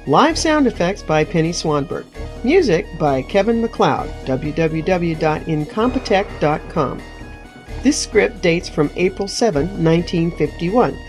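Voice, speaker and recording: A woman's voice: lowish voice, darkish tone. A woman presenting a radio show, reading scripted announcements and credits over faint music. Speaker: presenter and director.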